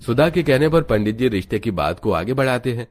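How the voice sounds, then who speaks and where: A man narrating a cartoon story in a steady, explanatory, scripted way. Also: mid-range voice, fairly quick.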